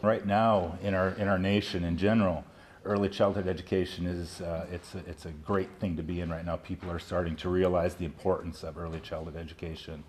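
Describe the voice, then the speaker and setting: Low-voiced man explaining a program. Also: little pitch movement, fairly quick, darkish tone. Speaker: early childhood education instructor. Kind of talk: explanatory promotional interview. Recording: noticeable background noise.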